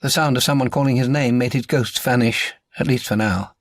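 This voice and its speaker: A man reading a crime novel as an audiobook narrator, in a measured and articulate way. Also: mid-range voice, no room sound.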